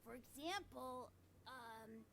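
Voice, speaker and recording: A woman's voice: on the high side, takes it slowly, creaky, slight background noise, full character voice. A woman voicing an eager, boastful, naive little child in a video game. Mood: eager, chatty. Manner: excitable, naive, earnest.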